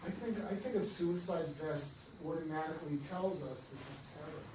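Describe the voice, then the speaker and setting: A man's voice: fairly high pitch, normal speed. A man in a theatre discussion suggesting ideas for the staging. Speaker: audience member giving feedback. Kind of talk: workshop discussion. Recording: very echoey room, distant mic, noisy.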